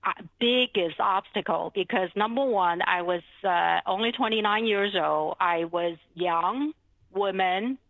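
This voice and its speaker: A woman's voice: mid-range voice, a little slow, dry recording, narrow, tinny audio. A middle-aged woman from China with a Chinese accent, speaking conversationally as a financial advisor being interviewed. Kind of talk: conversational interview, telling her career story.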